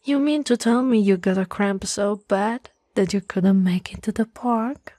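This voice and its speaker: A woman playing a tall, teasing, caring young childhood friend in an ASMR roleplay, with a soft, breathy, slightly husky voice and a gently coaxing manner. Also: medium pitch, no room sound, studio quality, lightly acted. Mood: coaxing, concerned. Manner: slow, playful, gently coaxing.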